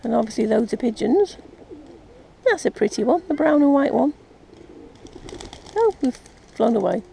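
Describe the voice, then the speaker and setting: An English woman chatting casually and gently as she narrates a nature vlog outdoors. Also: fairly high pitch, sing-song, moderate pace, vocal fry, poor audio. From England. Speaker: vlogger. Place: outdoors in an urban park. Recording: outdoor ambient noise, birdsong. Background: birds and park ambience.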